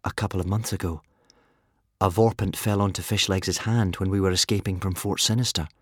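A man's voice: low voice, fairly even intonation, a little fast, husky, no room sound, studio quality. A man voicing an earnest, worried young boy in a storybook, sensible and questioning as he argues. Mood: hesitant.

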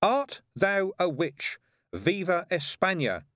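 A man's voice: high-pitched, very animated, slow pace, a bit bright, dry recording, clean studio sound, pitched above the performer's own voice, exaggerated voice. A man voicing a boy who leads his gang, firm and mock-solemn, in a storybook reading. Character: boy gang leader playing solemn, magisterial inquisitor. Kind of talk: audiobook character voice.

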